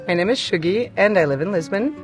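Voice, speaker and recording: A woman born in France chats about her life as a DJ and singer, relaxed and quick to laugh. Her voice sits in an ordinary middle pitch. Clear guitar music plays behind her. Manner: easygoing, chatty, laughs often. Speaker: DJ and singer being interviewed. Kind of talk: casual chat.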